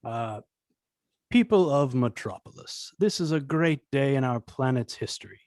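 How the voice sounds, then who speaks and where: A man playing a smooth-talking, scheming tycoon villain who makes a pompous public speech in a script read-through. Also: mid-range voice, animated, a little slow, a little mellow, no room sound, studio quality. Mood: pompous, showy. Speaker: table-read performer.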